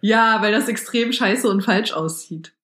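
A woman's voice: medium pitch, unhurried, a bit bright. Woman, podcast host, teasing and giggly, roomy echoey sound. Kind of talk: casual chat.

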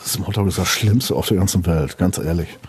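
German guy from westphalia, husky voice, close dry sound, blunt casual interview chat. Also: sits low, normal speed, darkish tone, no echo. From Münsterland, Westphalia, Germany. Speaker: podcast guest.

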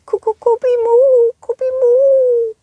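A woman voicing a small, harmless storybook ghost that repeats one drawn-out, hooting spooky call. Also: level tone, no echo, narrow, tinny audio. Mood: whimpering. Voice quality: drawn-out, high, hooting moan.

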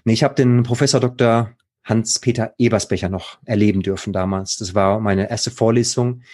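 Mental coach guest, thirties, slightly husky, lower voice, rambling and excited. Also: male voice, normal speed. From Baden, Germany. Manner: enthusiastic, rambling, slangy. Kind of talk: casual interview conversation.

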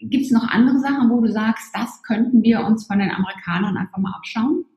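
Calm interviewer in an echoey room. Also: medium pitch, brisk. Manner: calm, affirming, asks with hesitations. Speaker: podcast host, interviewer. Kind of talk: conversational interview. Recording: echoey room, slightly dull sound.

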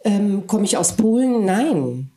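A woman's voice: medium pitch, big pitch swings, slow pace, dark tone, roomy sound. A woman, a native Polish speaker who grew up in Germany, giving a thoughtful, searching interview answer with frequent hesitations. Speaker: interview guest. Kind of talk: reflective conversation.

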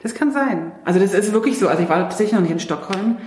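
A woman's voice: mid-range voice, lively intonation, fast, darkish tone. Playful woman podcaster in an echoey room. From East Germany. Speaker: podcast host. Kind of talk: casual interview chat.

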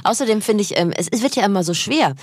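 A woman's voice: mid-range voice, expressive, fast talker, no room sound, clean studio sound. A woman in a casual chat, talking in a hesitant, self-deprecating way. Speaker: guest.

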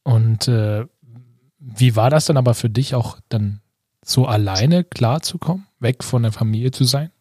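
Male host from Leipzig, middle pitch, dry sound, guides the guest. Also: unhurried, dark tone, breathy edge, no room sound. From Leipzig, Germany. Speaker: podcast co-host. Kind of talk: podcast hosting.

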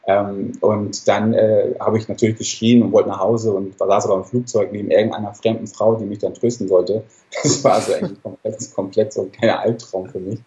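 A man's voice: lowish voice, level tone, brisk, mellow, dull audio. A man in his forties who grew up in Hamburg, telling his story as a podcast guest in a rambling way with many fillers and self-corrections. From Ghana, raised in Hamburg, Germany. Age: 40s. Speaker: guest, surgeon. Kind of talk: casual storytelling interview.

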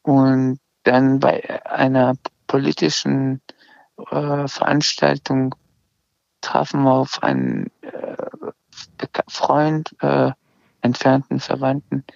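A man's voice: mid-range voice, lively intonation, slow pace, creaky, a little mellow, dry recording. A middle-aged man from Iran, Azerbaijani speaker, telling his story hesitantly in a casual interview, with many restarts and fillers. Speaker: interview guest. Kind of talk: casual conversation. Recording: slightly dull lo-fi sound.

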